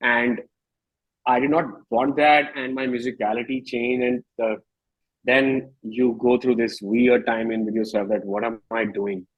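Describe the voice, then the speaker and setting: Male, 30s, Indian accent, mid-pitched husky voice; candid interview guest who thinks aloud and rephrases. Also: flat delivery, rough recording. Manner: reflective, halting, rephrasing often.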